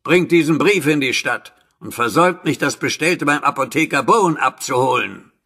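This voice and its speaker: An actor playing a sinister, imperious merchant-alchemist in a radio drama, dictating formally and deliberately. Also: male voice, on the high side, lively intonation, sharp tone, slightly rough, exaggerated voice. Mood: commanding.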